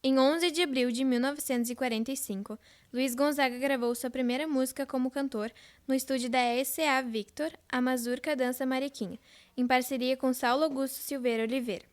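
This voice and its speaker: A woman's voice: high-pitched, steady tone, brisk. A girl of about twelve with a Brazilian accent presenting a scripted old-time radio show. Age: child, about twelve.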